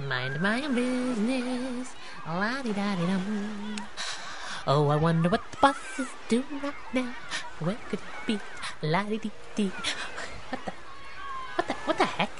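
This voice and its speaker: A woman's voice: mid-range voice, lively intonation, unhurried, noisy background, natural voice. A woman playing a plucky, teasing young superhero sidekick in a radio drama, brash and playful. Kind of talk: 1930s-set radio superhero drama acting.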